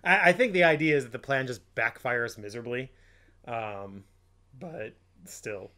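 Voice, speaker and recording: A young man from Ohio, a podcast co-host going hoarse, rambling through crude jokes and fillers while commenting on a film. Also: mid-range voice, measured pace, sharp tone. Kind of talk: comedic film commentary chat.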